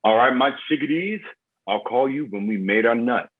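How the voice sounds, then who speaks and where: A man's voice: mid-range voice, normal speed, breathy edge, natural voice. A man acting a menacing, cocky drug dealer boss in a screenplay table read, taunting and foul-mouthed. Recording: muffled phone-like line, music behind.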